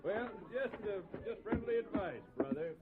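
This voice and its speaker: An actor playing a chatty, chuckling small-town Western porter with folksy speech in a 1950s radio drama, heard through a tinny, hissy old recording. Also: male voice, high voice, animated, normal speed, over loud music.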